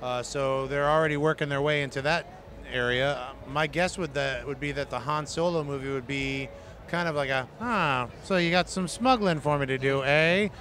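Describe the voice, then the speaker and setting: A man co-hosting a movie news chat, his voice hoarse and strained, talking in an easygoing way full of "uh" and "right". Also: a little high, normal speed, a bit bright. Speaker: co-host of a movie news show. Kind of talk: casual chat about movies. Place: outdoors at a busy convention.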